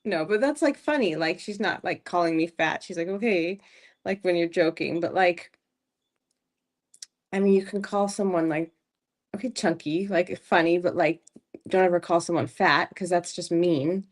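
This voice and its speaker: A woman's voice: on the low side, moderate pace. A middle-aged woman co-hosting a podcast in casual chat, talking in a chatty, teasing way with lots of "like". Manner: chatty, teasing, says "like" a lot. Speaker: podcast co-host.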